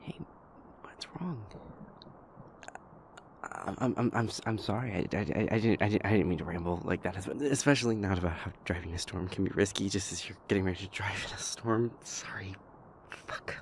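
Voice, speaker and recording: A man playing a shy, anxious, gentle young boyfriend in an audio roleplay, stammering, hesitant and apologetic in a soft-spoken way. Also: sits low, normal speed, slight background noise. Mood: apologetic, concerned.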